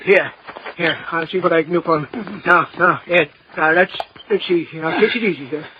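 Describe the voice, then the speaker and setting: A man's voice: on the high side, normal speed, close to an everyday voice. An actor playing a flustered, kindly doctor in a classic radio drama, stammering and repeating himself as he soothes a patient. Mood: anxious, soothing. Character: flustered, kindly small-town doctor tending a dying lad. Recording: muffled, noisy old radio recording.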